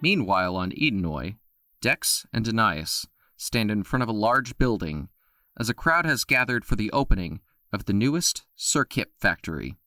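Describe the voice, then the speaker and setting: A man narrating an audio drama with expressive storytelling. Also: lowish voice, normal speed, no echo, studio quality, faint music behind.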